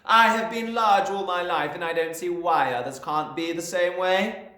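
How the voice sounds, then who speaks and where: A man's voice: high voice, moderate pace, voice pushed up. A man voicing a huge, bullying headmistress who barks and sneers at children. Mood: haughty. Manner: barking, sneering, loud. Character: bullying giant woman headmistress, sarcastic and thundering. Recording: roomy echo.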